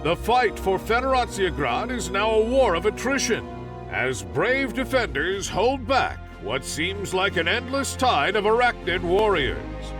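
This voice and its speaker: An actor playing a patriotic wartime propaganda newsreel announcer in a video game, emphatic and dramatic. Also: male voice, sits high, sing-song, unhurried, recorded from a distance, over music. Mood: rousing. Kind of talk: scripted propaganda newsreel narration.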